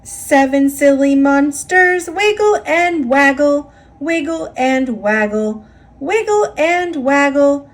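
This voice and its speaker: A woman with an American accent reading a children's story aloud in an expressive, deliberate storytelling manner. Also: high voice. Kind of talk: read-aloud children's story narration, partly sing-song.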